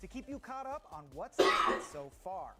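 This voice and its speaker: A woman's voice: mid-range voice, sing-song, moderate pace, bright, forceful tone. A woman presenting a gaming broadcast with a polished, scripted delivery. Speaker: studio presenter. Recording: presenter with background music.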